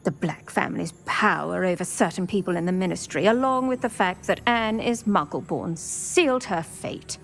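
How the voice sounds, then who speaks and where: An actor voicing an older retired Auror woman who speaks briskly and formally in scripted game dialogue. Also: lowish voice, gravelly, faint music behind. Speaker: retired Auror guiding a student.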